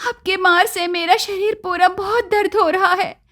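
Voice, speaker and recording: Meek young daughter-in-law played by a woman: kind, suffering, plaintive, very high voice for a moral tale. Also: steady tone, moderate pace, a bit bright. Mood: tearful pleading. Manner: pleading, plaintive.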